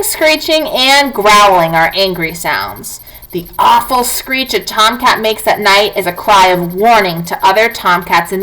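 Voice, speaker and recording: A woman with a bright, forward voice reads a children's non-fiction book aloud like a classroom teacher. Her pitch moves in lively swings, and she enunciates clearly as she speaks directly to young pupils. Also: rough recording. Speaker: teacher reading aloud to students.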